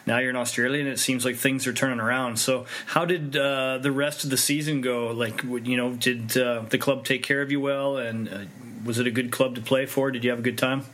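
Guy hosting a chill interview, mid pitch, loose chatty questions. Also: steady tone, fairly quick, hardly any pauses, a bit bright, slight background noise. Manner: conversational, asks rambling questions. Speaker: interviewer, host. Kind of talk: casual interview.

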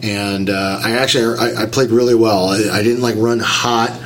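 Guy with a stuffy nose from a cold, kinda whiny, lowish voice, faint hum behind. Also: steady tone, normal speed, a bit bright. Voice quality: slightly whiny. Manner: rambling, explains step by step. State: getting over a cold, nasal. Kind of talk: casual chat.

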